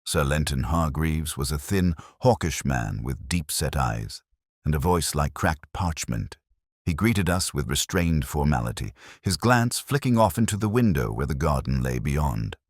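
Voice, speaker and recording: A man with an extremely low voice narrates a detective story. His pitch moves up and down in lively swings, and the sound is dry and close. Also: a little slow, no room sound. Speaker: audiobook narrator.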